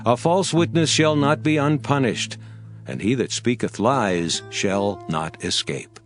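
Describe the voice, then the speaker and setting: Very slow, deliberate male scripture reader. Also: medium pitch. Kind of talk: dramatized scripture reading. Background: faint background music.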